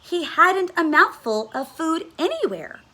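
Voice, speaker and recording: A woman reads a children's story aloud, slowly and deliberately. Her voice is high and bright, and she leans on key words for dramatic effect, like a teacher at story time. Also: very animated. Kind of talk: expressive storybook read-aloud.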